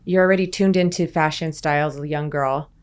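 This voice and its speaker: Woman, podcast host, upbeat, eager questions, slightly low voice. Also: fairly even intonation, brisk, smooth. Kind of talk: conversational interview.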